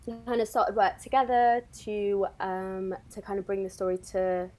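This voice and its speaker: Woman interviewer, mid-pitched, conversational. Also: steady tone, normal speed, a little mellow, poor audio. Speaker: interviewer and theatre company executive director.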